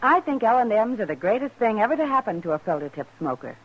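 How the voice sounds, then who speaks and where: Lively woman announcer on muffled old radio. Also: on the high side, fast. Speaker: announcer reading endorsements in an ad. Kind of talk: 1950s radio commercial announcing. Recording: dull 1950s radio recording.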